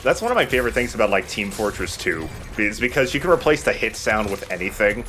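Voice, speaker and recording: Low-voiced young guy doing game commentary. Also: very animated, fast, a bit bright, slight background noise. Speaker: let's play host and player. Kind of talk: casual gameplay commentary with friends.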